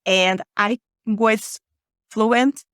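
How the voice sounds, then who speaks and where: A woman's voice: fairly high pitch, fairly even intonation, drawn-out words, a bit bright, clear, resonant tone, no room sound, clean studio sound. A woman from Brazil with a Brazilian accent and Portuguese as her native language, talking conversationally as a guest in an interview. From Rio de Janeiro, Brazil. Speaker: podcast guest, author and podcaster.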